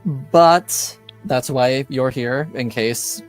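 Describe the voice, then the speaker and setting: A composed spirit-mage played by a male game master in a tabletop roleplaying game. He explains in a measured, reassuring way, slow and clear, over faint music. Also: mid-range voice. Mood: calm, reassuring. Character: calm, assured male spirit-mage explaining his ritual.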